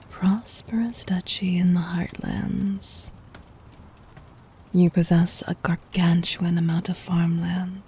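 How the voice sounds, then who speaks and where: A woman performs a regal demon queen for an ASMR roleplay, in a lowish, soft and dark voice that is mostly breath. She speaks with formal poise and gentle dominance. Her commands come out tender, scheming and adoring. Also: exaggerated voice. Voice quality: breathy, whispery.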